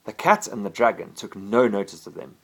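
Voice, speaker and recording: A man narrates a children's storybook at bedtime as a father would. His delivery is expressive, and his pitch moves around in a very animated way. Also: fairly quick, gravelly, poor audio.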